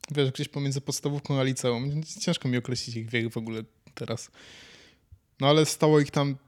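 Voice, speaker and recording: Young guy podcasting, average pitch, slangy blunt way of talking, casual storytelling. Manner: colloquial, blunt, swears casually. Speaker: podcast co-host. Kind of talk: casual chat, anecdote telling.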